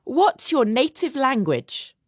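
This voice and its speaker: A woman reading out interview questions in a clear, questioning way. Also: high voice, sing-song, slow, music in the background.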